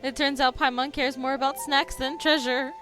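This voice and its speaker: A woman's voice: high voice, level tone, moderate pace, pushed and bright. A woman streamer reacting casually to a video game in a live commentary style. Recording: faint music behind the voice. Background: game music.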